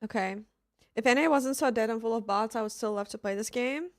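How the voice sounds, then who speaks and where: A woman's voice: fairly high pitch, a little fast, no room sound, studio quality. A young woman from Finland, chatting casually with her live-stream chat while she plays games. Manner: chatty, answers chat offhandedly.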